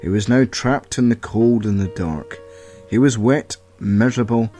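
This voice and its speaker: A man's voice: mid-range voice, a little slow, mellow, faint music behind. A man reading a scripted audio story as its narrator.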